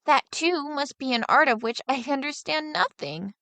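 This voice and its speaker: A woman's voice: sits high, lively intonation, normal speed, pushed and bright, dry recording, pitched above the performer's own voice, full character voice. A woman voicing a naive, fearless young peasant boy who speaks earnestly. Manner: earnest, guileless.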